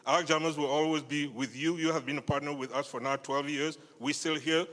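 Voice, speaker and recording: A man with a somewhat raised voice speaks earnestly and haltingly, pausing to find his words. He is addressing a live crowd in a theater, and his voice rings with the long reverb of the hall. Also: fairly high pitch, fairly even intonation, fairly bright tone. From Cameroon. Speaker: guest speaker. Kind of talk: speech at a live event. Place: theater stage.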